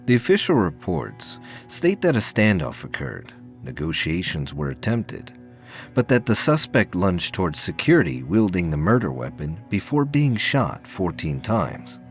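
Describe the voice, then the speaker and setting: Low-voiced man narrating, expressive pitch. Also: faint music behind. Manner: measured, formal storytelling. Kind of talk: scripted narration of a sci-fi audio drama.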